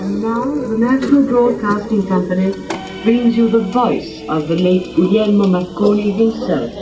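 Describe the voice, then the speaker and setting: A man's voice: high-pitched, moderate pace, music in the background, poor audio. A man announcing formally in 1930s network radio style.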